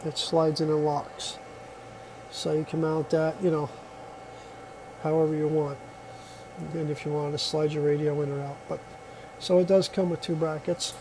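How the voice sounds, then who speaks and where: A man's voice: fairly high pitch, level tone, normal speed, pauses often, noisy background. A man giving a casual hands-on product demonstration, explaining step by step in a plainspoken way. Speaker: product reviewer.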